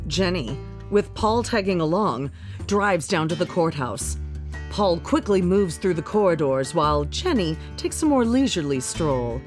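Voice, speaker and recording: A woman's voice: fairly low pitch, animated, a little slow. A woman narrating scripted scene changes for a radio drama. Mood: measured. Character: female narrator setting the scenes. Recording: music under the voice. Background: guitar music.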